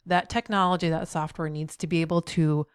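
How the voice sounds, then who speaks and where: A woman's voice: low voice, steady tone, brisk, smooth, dry recording, clean studio sound. A middle-aged woman with a head cold and a slightly nasal voice, teaching a tutorial and encouraging the viewer directly. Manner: encouraging, speaks straight to the viewer with frequent "right?". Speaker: accessibility trainer. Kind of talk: tutorial video.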